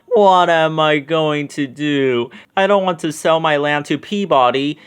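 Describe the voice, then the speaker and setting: A man's voice: on the high side, a little slow, clean tone, close to an everyday voice. A man voicing a kindly, worried small business owner in a storybook, apologetic and fretful.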